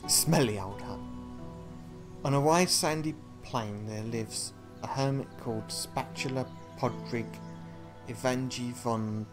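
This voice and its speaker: A man playing a video game, reading its text aloud and thinking out loud with casual asides. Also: mid-range voice, a little slow, over music. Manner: thinking aloud between read passages. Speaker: video game player and commentator.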